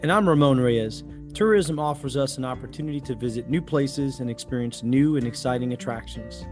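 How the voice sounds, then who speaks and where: A man's voice: mid-range voice, brisk. A man reading a scripted podcast introduction as co-host. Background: guitar music.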